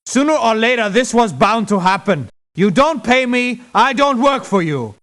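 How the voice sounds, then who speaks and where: A high-pitched, scornful mercenary. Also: male voice, expressive, moderate pace, fairly bright tone. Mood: fed up. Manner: sarcastic, scornful. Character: exasperated, dismissive, sharp-tongued male mercenary. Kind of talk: video game character voice lines.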